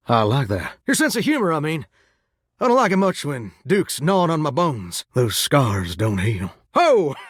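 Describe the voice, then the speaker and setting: A man's voice: fairly high pitch, no echo, clean studio sound. A man voicing a folksy, flirty yet insecure living shower in a video game, with an American Southern accent and a theatrical, sweet-talking drawl. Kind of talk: video game character voice acting.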